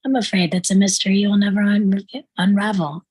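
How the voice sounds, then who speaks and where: A woman's voice: mid-range voice, flat delivery, a little fast, darkish tone, slightly rough, lightly acted. An actress reading the part of a practical, teasing young woman in a sitcom table read.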